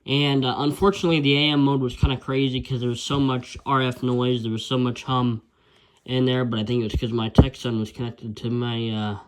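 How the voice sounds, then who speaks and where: A man's voice: mid-range voice, little pitch movement, a little fast, slight background noise, poor audio. A man casually reviewing a handheld radio, rambling with lots of fillers and restarts.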